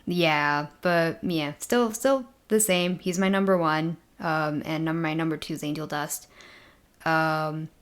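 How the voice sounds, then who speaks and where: A woman's voice: low voice, fairly even intonation, slow, clean tone. A woman hosting her own channel, chatting casually with friends, with lots of ums and easy laughter. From Mexico. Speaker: channel host. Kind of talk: casual show discussion with friends.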